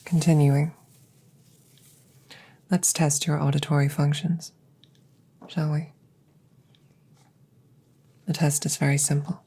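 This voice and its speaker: Lady playing an alien scientist girl for asmr, low soft whispery voice, really slow, calm and clinical, formal wording. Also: slightly rough, full character voice. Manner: precise, formal, detached, unhurried. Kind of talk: ASMR audio roleplay, close soft whispering. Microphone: close microphone.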